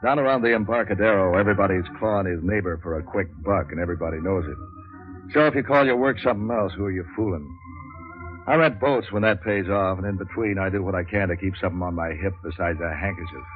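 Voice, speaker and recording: Man doing sardonic 40s radio noir narrator, lowish deadpan voice, tinny band-limited sound. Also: fast talker, lightly acted. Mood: cynical. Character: cynical, wisecracking male waterfront boat renter for hire. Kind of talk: 1940s hard-boiled radio narration. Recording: tinny 1940s radio recording, faint music.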